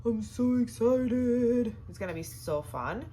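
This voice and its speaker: A woman hosting a sewing tutorial, chatty and upbeat with lots of fillers, her voice hoarse and cutting in and out. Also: fairly high pitch, animated, takes it slowly, dark tone, soft background music. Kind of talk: casual how-to demonstration.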